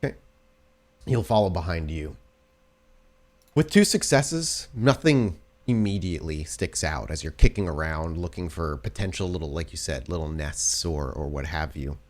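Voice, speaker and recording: A man's voice: very deep voice, moderate pace. A man running a tabletop roleplaying game, narrating scenes descriptively and often rephrasing as he goes.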